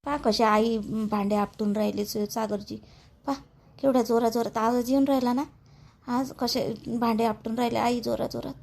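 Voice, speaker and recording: A woman's voice: on the high side, steady tone, moderate pace, vocal fry, a little mellow. A woman voicing a sickly, complaining young wife in a family cartoon, plaintive, with a Varhadi Marathi accent. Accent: Varhadi Marathi (Vidarbha). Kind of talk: animated family drama acting.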